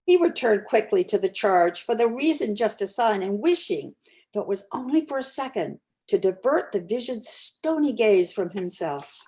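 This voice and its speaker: A woman's voice: medium pitch, big pitch swings, moderate pace, a little mellow, poor audio. A woman narrating a classic story aloud as an amateur dramatic reading.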